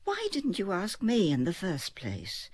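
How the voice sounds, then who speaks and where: An actress playing a shrewd, caring older woman in a radio drama who questions a young man in a measured, gently firm way. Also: medium pitch, huge pitch swings, no room sound, clean studio sound. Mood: probing.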